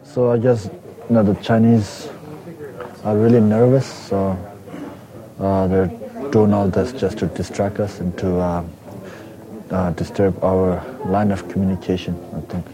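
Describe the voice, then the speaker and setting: A man's voice: sits low, flat delivery, measured pace, a crowd in the background, poor audio. A man, a student organizer, talking casually and a little hesitantly in an interview.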